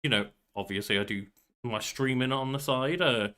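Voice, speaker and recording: Young guy doing casual podcast banter, bright crisp-edged voice, streamer type. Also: medium pitch, moderate pace. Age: young adult. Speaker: podcast co-host and game streamer.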